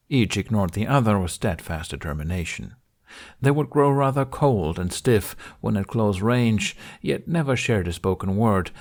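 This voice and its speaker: Male narrator for fiction audiobook: lowish pitch, crackly vocal fry, deliberate and expressive storytelling read.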